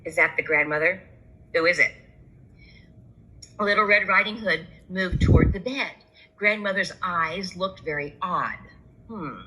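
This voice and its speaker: A woman's voice: on the low side, measured pace, long pauses, bright, forceful tone, low-quality recording. A woman reading a children's story aloud as a storyteller.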